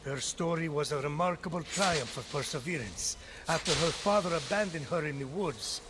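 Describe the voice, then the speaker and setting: A man's voice: a little high, animated, moderate pace, heavy background noise, natural voice. A man playing an eloquent, dry-witted storyteller who narrates a myth in a video game. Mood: storytelling. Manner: grandiose narration, sardonic asides. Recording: music under the voice.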